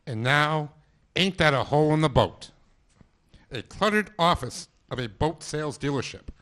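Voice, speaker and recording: Male narrator for stage directions, plain and even. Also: a little high, normal speed.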